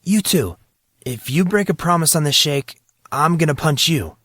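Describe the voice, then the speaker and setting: Male actor as troubled, wry high school freshman for audio drama, exasperated, swinging pitch, dry sound. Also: animated, moderate pace, fairly bright tone, dry recording. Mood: conceding. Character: troubled fourteen-year-old schoolboy, sarcastic, exasperated, reluctant.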